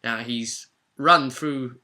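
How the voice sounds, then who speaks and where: Bright, forceful man telling a game story. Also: mid-range voice, drawn-out words. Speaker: host and game master recapping a role-play session. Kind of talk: animated storytelling recap to viewers.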